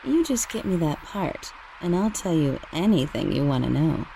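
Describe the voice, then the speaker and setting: A woman playing a sultry, scheming robot pop starlet in a video game, coy and flirtatious with a creaky voice. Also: low voice, very animated, moderate pace, warm tone, slight background noise, heavily acted, low-quality recording. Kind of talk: video game cutscene acting.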